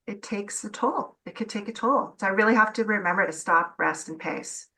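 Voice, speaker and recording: A woman's voice: mid-range voice, normal speed, pushed and bright. A middle-aged woman, an interview guest, talking candidly and reflectively about her own experience in casual conversation.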